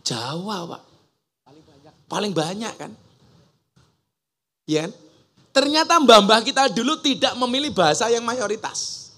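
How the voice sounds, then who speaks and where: A man's voice: sits high, very animated, measured pace, long pauses, pushed and bright. A man preaching a humorous sermon to a gathering, joking and mimicking regional dialects. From Java. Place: outdoor religious school gathering.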